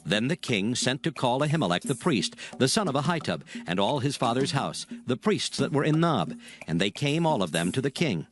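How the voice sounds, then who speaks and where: A man narrating scripture in a solemn, measured, dramatic storytelling style. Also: medium pitch, very animated. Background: music bed.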